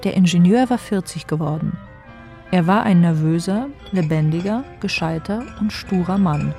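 A woman narrating a scripted radio play in a measured, wry way. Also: on the low side, dark tone, soft background music, lightly acted.

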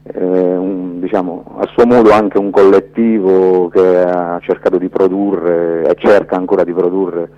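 A man's voice: low voice, flat delivery, unhurried, few pauses. A man giving spontaneous, reflective radio interview answers as a filmmaker, often hesitating and searching for words, on dull lo-fi audio. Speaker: documentary filmmaker, interview guest.

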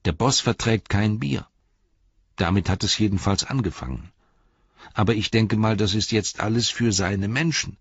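A man's voice: on the low side, animated, moderate pace, husky, no room sound, lo-fi sound, pitched above the performer's own voice. A man voicing a timid, grumbling hobbit constable. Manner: complaining, confiding, nervous.

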